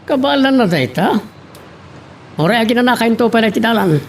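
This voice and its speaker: A man's voice: very high-pitched, animated, normal speed. A man playing a matter-of-fact father with a Filipino accent in an audio drama. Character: matter-of-fact father giving orders to family. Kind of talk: amateur audio drama acting. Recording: faint background noise.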